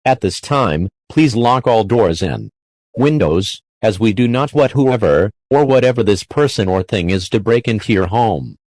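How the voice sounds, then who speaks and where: A man reads a scripted emergency broadcast announcement in a formal, measured manner, as an alert announcer. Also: fairly low pitch, expressive, darkish tone, no room sound.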